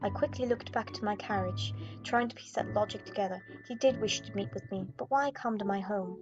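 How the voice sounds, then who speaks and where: A woman's voice: medium pitch, brisk. A young woman gaming YouTuber reading visual novel narration aloud in a dramatic storytelling style. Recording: dull audio, music behind. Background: game music.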